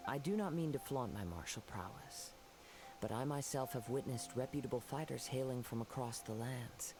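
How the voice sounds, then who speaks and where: An actor playing a calm, poetic young wandering samurai in a video game, speaking formally and courteously in a measured way. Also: male voice, mid-range voice, natural voice. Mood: courteous, composed. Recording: game music behind the voice.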